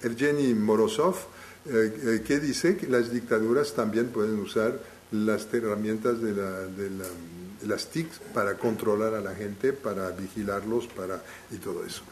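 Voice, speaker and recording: Halting male lecturer, not a native speaker. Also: on the low side, a little mellow. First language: not Spanish. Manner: halting, full of restarts while thinking aloud. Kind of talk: live lecture to an audience.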